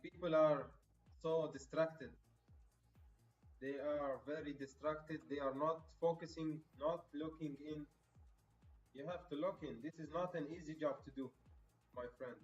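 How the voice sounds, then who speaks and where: A man from Egypt talks through a live stream in a casual, chatty way, calling viewers bro and my friend. His voice sits a bit high and reaches the mic from a distance in a roomy space. Faint music plays underneath a slightly dull recording.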